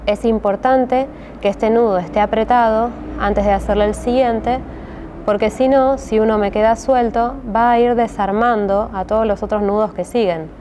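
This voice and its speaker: A woman's voice: a little high, steady tone, moderate pace, warm tone, a little hiss or hum. A woman with a Rioplatense Spanish accent teaching a step-by-step craft tutorial, patient and checking in often with her listeners. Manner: patient, with frequent "¿sí?" check-ins and many hesitations.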